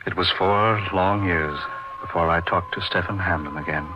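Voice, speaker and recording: An actor playing an earnest, awestruck young doctor telling a dark tale in a theatrical, emphatic old-time radio style. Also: male voice, low voice, steady tone, normal speed, noisy background, close to an everyday voice. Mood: awed, ominous. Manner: theatrical, florid, emphatic delivery. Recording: muffled radio drama recording.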